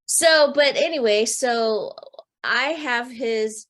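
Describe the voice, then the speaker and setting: A middle-aged American woman, a podcast guest, telling a personal story in an earnest, halting way with many fillers and restarts. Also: on the high side, fairly bright tone. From United States. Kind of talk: casual storytelling.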